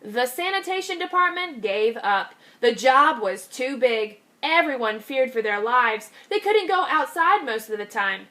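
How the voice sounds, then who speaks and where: Kindergarten teacher, woman, fairly high voice, expressive storybook read-aloud with slowed emphasis. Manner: expressive, slows down on key phrases. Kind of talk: reading a picture book aloud.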